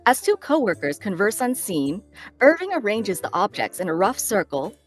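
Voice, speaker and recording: Lively woman narrator reading stage directions. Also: medium pitch, normal speed, faint music behind. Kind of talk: scripted narration at a table read.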